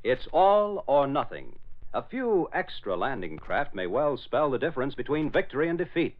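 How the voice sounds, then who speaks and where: Male 1940s announcer, American accent, mid-pitched, lively intonation, dull radio sound. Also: normal speed.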